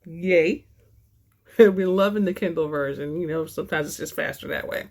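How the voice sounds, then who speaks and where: A woman with a fairly low voice hosts a book channel. She chats casually to her viewers and reads fiction aloud in a dramatic style. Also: normal speed, gravelly, darkish tone. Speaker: host of a book-reading channel.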